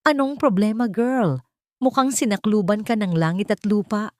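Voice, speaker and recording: A woman's voice: medium pitch, moderate pace, no echo, voice pushed up, close to an everyday voice. A woman voicing a snide, shallow young rich woman in a story, catty and teasing. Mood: mocking.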